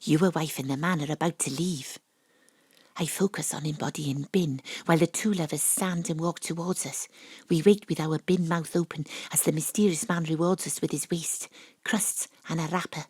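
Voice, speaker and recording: A brash female amateur detective, narrates her investigation in a fairly low voice. She is theatrical, knowing and conspiratorial, in the style of an audio drama, with a very dry, close sound. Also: fairly even intonation, normal speed, darkish tone, husky, no room sound. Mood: hushed, knowing.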